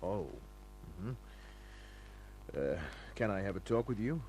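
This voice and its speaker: An actor playing a hard-boiled private detective, laconic, breezy and sardonic, in the manner of old-time radio drama. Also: male voice, on the low side, animated, slow, dark tone. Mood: cool, wry. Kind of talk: old-time radio acting and first-person narration. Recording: noisy humming old radio recording.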